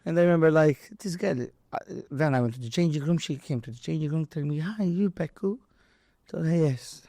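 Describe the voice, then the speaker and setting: A man's voice: fairly high pitch, fairly quick, warm tone, no echo, studio quality. A Maltese man with a Maltese accent and non-native English, casually telling stories and acting out dialogue and impacts. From Malta. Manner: acts out dialogue and impact sounds. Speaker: boxer and boxing trainer, interview guest. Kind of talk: casual animated storytelling.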